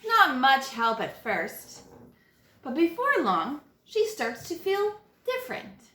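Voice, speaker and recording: A woman teacher reading a children's picture book aloud, with dramatic, deliberate phrasing and stressed key words. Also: high-pitched, wildly swooping pitch, bright, forceful tone, echoey room. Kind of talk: expressive children's read-aloud narration.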